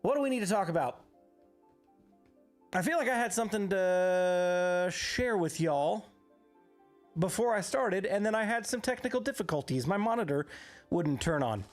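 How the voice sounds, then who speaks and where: Hoarse voice, live game streamer, animated intonation, greets viewers by name, faint background music. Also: medium pitch, normal speed, long pauses. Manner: upbeat, greets viewers by name one after another. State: hoarse, recovering from illness.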